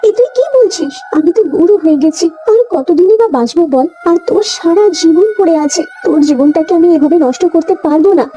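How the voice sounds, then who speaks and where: A woman's voice: very high voice, expressive, fairly quick, hardly any pauses, mellow, highs cut off. A woman playing a motherly old cow in a cartoon, tender and self-sacrificing, with a pleading, endearing way of talking. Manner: pleading, endearing address to her child. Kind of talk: cartoon voice acting. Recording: music behind the voice.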